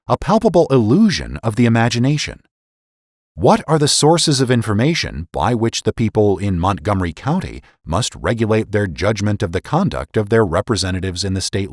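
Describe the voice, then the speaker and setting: Male narrator, lowish pitch, highly animated intonation; formal, emphatic dramatic reading of period political prose. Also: no echo, clean studio sound. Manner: formal, deliberate, emphatic oratorical delivery. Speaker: podcast host and narrator.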